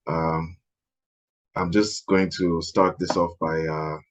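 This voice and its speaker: Deep-voiced hesitant man hosting a podcast. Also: little pitch movement, slow. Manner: soft-spoken, hesitant, clears throat often. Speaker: podcast host. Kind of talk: interview hosting.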